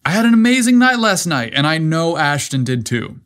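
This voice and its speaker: A man's voice: fairly high pitch, very animated, normal speed, fairly bright tone, voice pushed up. A man voicing a loyal, earnest young best friend with a casual manner in a narrated story. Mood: thrilled.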